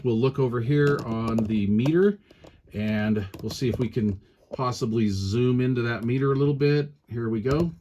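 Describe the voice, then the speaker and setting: A man's voice: mid-range voice, darkish tone. A man talking informally and colloquially as he hosts a casual hands-on product review. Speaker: product reviewer, channel host.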